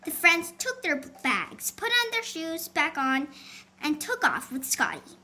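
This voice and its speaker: High, bright, animated female storybook reader. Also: a little slow. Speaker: audiobook author and reader. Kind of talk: scripted children's story narration. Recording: faint background noise.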